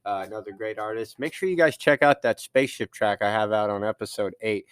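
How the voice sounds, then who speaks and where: A man's voice: lowish voice, brisk, clean tone. Young male podcast host, casual and rambling, studio chat. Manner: laid-back, rambling with fillers. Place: recording studio.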